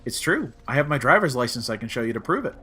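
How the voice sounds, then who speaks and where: A man playing a flippant, deadpan adventurer in a comic audio drama. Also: medium pitch, quick pace, soft background music. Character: wry, flippant male time-travelling adventurer.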